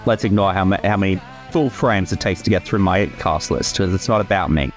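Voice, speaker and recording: A man's voice: sits low, fairly quick. A man giving casual, explanatory creator commentary, sometimes sweary, with soundtrack music behind him. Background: film soundtrack music.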